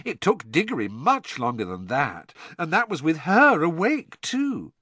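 A man voicing a glum, touchy teenage girl ghost in a storybook, with a shrill, wailing, self-pitying delivery. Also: wildly swooping pitch, sharp tone, breathy edge, dry recording, exaggerated voice. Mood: sly. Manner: wailing, drawn-out, mournful delivery.

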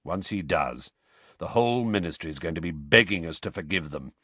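A man's voice: expressive, brisk, sharp tone, breathy edge, no room sound, exaggerated voice. A man doing a bitter, brusque wizard. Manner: abrupt, low and bitter. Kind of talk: audiobook character voice.

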